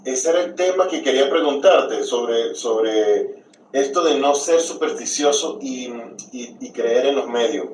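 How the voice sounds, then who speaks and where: Distant, roomy host. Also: male voice, mid-range voice, a little slow, a little mellow, slight background noise. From Venezuela. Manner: questioning, hesitant with restarts. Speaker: podcast host, interviewer. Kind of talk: casual conversational interview.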